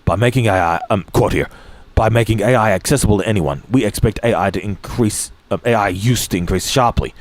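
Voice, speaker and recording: A man with a somewhat low voice reads articles aloud for his stream. He stumbles, restarts phrases and clears his throat often. Now and then he drops a dry, offhand comment between quotes. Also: fairly quick. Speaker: streamer reading news aloud. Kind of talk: reading articles aloud with asides.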